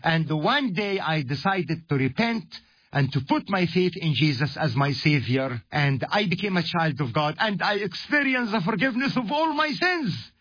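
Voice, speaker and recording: A man's voice: a little high, lively intonation, normal speed, breathy edge, no room sound, dull audio. A man from Egypt giving an earnest radio gospel message, speaking straight to the listener. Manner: earnest, direct address to the listener. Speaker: evangelist and ministry host.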